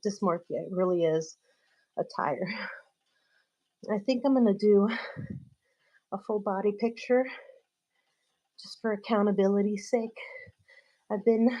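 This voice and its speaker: A woman whose first language is Spanish chats casually with viewers during a live-streamed workout, laughing often and using many fillers. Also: medium pitch. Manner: greets viewers warmly by name. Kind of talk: casual live stream chat while exercising.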